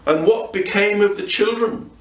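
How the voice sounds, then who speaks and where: A man reading a children's story aloud in an expressive, dramatic manner, often letting the ends of phrases drop away. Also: high-pitched, moderate pace, slight background noise. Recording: roomy echo, distant microphone.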